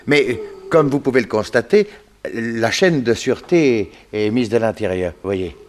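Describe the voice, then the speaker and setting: An actor as a fussy coroner, wildly animated. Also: male voice, mid-range voice, moderate pace, a little hiss or hum, natural voice. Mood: dramatic, indignant. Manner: garrulous, theatrical, hesitating with fussy asides. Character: fussy, excitable, garrulous male coroner. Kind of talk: French radio play acting.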